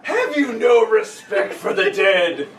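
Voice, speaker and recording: Animated young man, roomy distant recording. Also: sits high, slow. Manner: crude, profane joking. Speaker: podcast co-host. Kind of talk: casual chat among friends. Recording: roomy, distant mic, noisy background.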